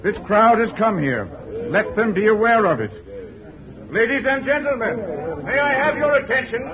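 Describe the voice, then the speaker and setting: A man's voice: high voice, sing-song, normal speed. A man playing an earnest, formal admirer of a great scientist in an old-time radio drama, with declamatory delivery. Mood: proclaiming. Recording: dull old radio broadcast, crowd behind.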